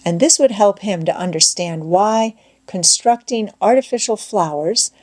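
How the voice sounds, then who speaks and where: A woman reading an audiobook as expressive storytelling, with measured, deliberate phrasing. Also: medium pitch. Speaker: audiobook narrator. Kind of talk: expressive scripted storytelling narration.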